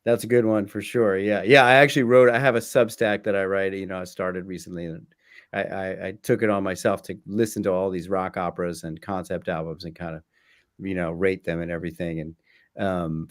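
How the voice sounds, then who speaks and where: Lowish male voice, halting casual talker. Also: fairly even intonation. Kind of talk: casual conversational interview.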